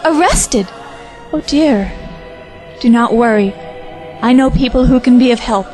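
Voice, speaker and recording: A woman's voice: on the high side, unhurried, a little mellow, close to an everyday voice. A woman playing a polite, fretful but reassuring helper in an audio drama. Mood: concerned, reassuring. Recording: music bed under the dialogue.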